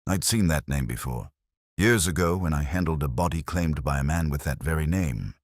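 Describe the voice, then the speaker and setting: A thin, uneasy man of forty-odd from a Victorian mystery, read by a male narrator. He talks in a low voice, quietly confessional and weighed down by guilt, with restless movement in his pitch. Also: lively intonation, moderate pace, no room sound, clean studio sound. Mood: guilty, confessing. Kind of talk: dramatised story reading.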